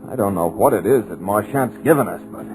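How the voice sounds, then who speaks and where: A man portrays a hypnotized, frightened writer in an old radio horror play. His lowish voice falters through a dazed, anguished monologue. Clearly audible music plays behind him on the vintage recording. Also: animated, fairly quick, close to an everyday voice, rough recording. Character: terrified, hypnotized male scriptwriter, helpless victim. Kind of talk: 1940s radio horror acting.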